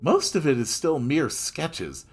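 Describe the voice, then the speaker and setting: Male narrator for visual novel reading. Slow, husky, highly varied delivery over music. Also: very animated. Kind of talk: expressive story narration with character voices.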